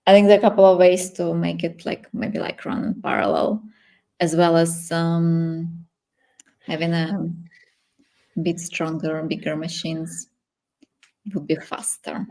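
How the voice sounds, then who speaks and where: A woman with a Ukrainian accent chatting casually as she hosts a live technical workshop, feeling her way through sentences in halting phrases. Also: lowish voice, little pitch movement, creaky, mellow. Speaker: workshop host.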